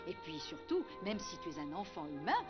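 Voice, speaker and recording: A woman voicing a gentle mother wolf in a French cartoon dub, patient and encouraging. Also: lowish voice, huge pitch swings, normal speed, highs cut off, over music. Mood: tender. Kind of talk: French animated series dub.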